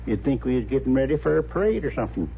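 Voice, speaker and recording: An obliging, talkative general store keeper of the frontier, with a mid-pitched voice and folksy, rustic phrasing. It is 1950s radio western acting on a narrow old recording with faint noise. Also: male voice, very animated, very fast, creaky. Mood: chatty, amused.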